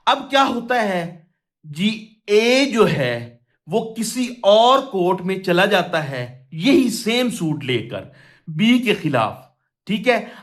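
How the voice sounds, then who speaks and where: A Pakistani-accented man teaches in a noticeably high voice at a slow, deliberate pace. He reads formal wording and then explains it step by step, often asking a question and answering it himself. English technical terms are mixed into his speech. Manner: didactic, asks and answers own questions, switches into English terms. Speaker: law lecturer. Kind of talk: explanatory lecture, reading statute and explaining simply.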